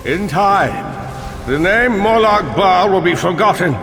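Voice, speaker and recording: An actor playing a gloating, power-hungry necromancer in a fantasy game, pompous, condescending and theatrical. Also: male voice, sits high, expressive, slow, gravelly, fairly bright tone, heavily acted. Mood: grandiose gloating. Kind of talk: fantasy video game acting. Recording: long cavernous reverb, faint music.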